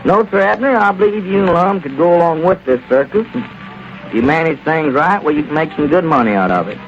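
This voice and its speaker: An actor playing a plain-spoken country friend with chuckling asides in a 1930s radio comedy. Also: male voice, on the high side, expressive, a little fast, soft background music. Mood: friendly. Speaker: townsman Dick. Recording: lo-fi old radio broadcast.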